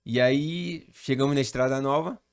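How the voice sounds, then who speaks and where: A Rio de man, a pastor, telling how his life unfolded in a relaxed, storytelling manner. He speaks at a mid pitch, and his voice sits close and dry, with little room sound. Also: normal speed, clear, resonant tone, no echo. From Rio de Janeiro, Brazil. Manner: animated storyteller, relaxed slang. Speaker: pastor, podcast guest. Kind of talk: casual storytelling chat.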